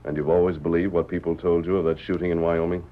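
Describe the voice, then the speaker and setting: An actor playing a righteous masked frontier hero, speaking American English in a firm, measured, authoritative way in a radio western. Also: male voice, sits low, little pitch movement, close to an everyday voice. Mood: stern, probing. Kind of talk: old-time radio western acting. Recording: muffled vintage radio drama.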